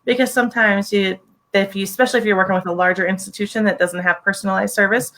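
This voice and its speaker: A woman from Virginia, a loan officer guest, explaining things clearly in a friendly way. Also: mid-range voice, steady tone, fast talker, fairly bright tone. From Virginia, USA. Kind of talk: explanatory interview talk.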